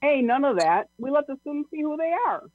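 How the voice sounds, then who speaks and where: Man plays orderly meeting chair: high voice, authoritative, thin phone-like sound. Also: lively intonation, brisk. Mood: firm. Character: brisk, firm school leader chairing a meeting. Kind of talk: amateur audio play acting. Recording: tinny, band-limited video-call line.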